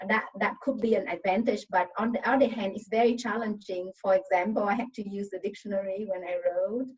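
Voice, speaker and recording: A woman speaking English as a second language with a Vietnamese accent, an earnest panel guest who pauses to find her words on a video call. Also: medium pitch, steady tone, breathy edge. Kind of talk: casual panel talk. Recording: distant, muffled video call audio.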